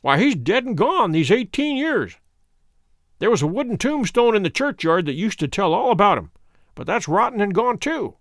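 A man's voice: sits high, big pitch swings, normal speed, fairly bright tone, no room sound, studio quality, pitched above the performer's own voice. A man reading aloud voices an old village man with a thin, piping voice, recounting news in a chatty, matter-of-fact way.

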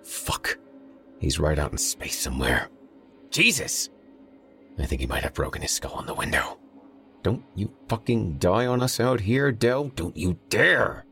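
A man's voice: sits low, expressive, unhurried, gravelly, a bit bright, faint music behind, voice pushed up, heavily acted. A man voicing a tense, profane young man who urgently takes charge in a crisis in an audiobook story. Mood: worried, urgent.

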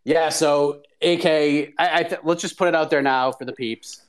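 A man's voice: on the high side, fairly even intonation, normal speed. A man hosting a live call-in show, talking casually with lots of fillers. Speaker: host.

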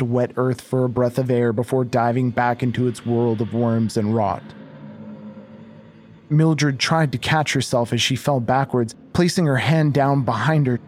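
A man narrating a scripted horror audio drama in a measured, literary storytelling manner. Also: mid-range voice, a little mellow, slight background noise.

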